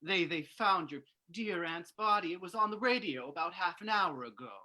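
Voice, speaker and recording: A woman's voice: on the low side, lively intonation, moderate pace, sharp tone. A woman playing a fussy, sympathetic male hotel manager with a stammering, hesitant manner in an old-time radio play reading. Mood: flustered, sympathetic.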